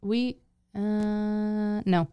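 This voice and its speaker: A woman co-hosting a podcast, talking in a lively, chatty way and laughing a lot. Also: medium pitch, very slow, clear, resonant tone. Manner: laughs a lot, animated, says "like" often. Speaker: podcast co-host. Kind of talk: casual chat.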